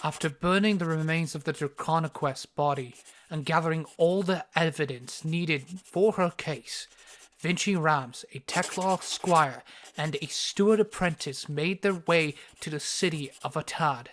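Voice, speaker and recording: Casting: story narrator for fantasy audio drama, medium pitch, scripted read. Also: a little slow, a bit bright, a little hiss or hum.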